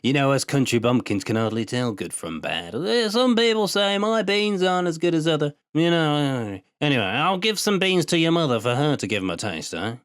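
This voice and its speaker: An old country bean farmer from a storybook, acted out by a man, pitched well above the reader's voice. He drawls and hesitates in a folksy, proud way. The recording is dry and close. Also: sing-song, normal speed, hardly any pauses, smooth, no room sound. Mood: proud, humble. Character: old village bean farmer, gruff, then proudly pleased. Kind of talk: storybook character voice.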